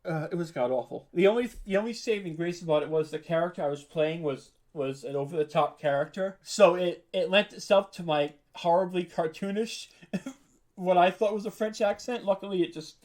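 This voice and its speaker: American man chatting casually, slightly high voice. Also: a little fast, a little mellow. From New York, United States. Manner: chatty, informal, self-deprecating. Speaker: podcast creator and voice actor being interviewed. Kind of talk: casual interview chat.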